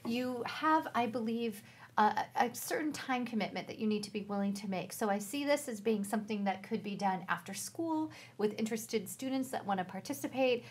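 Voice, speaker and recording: Higher-voiced woman co-hosting, slightly husky. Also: brisk. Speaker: co-host presenting an education resource. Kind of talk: casual explanatory presentation.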